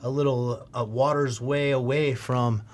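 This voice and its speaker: A man hosting a podcast in a studio, asking relaxed questions with a jokey edge. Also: medium pitch, measured pace. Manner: theatrical intro, then relaxed questions. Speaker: podcast host. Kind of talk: casual podcast interview. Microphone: studio podcast mic.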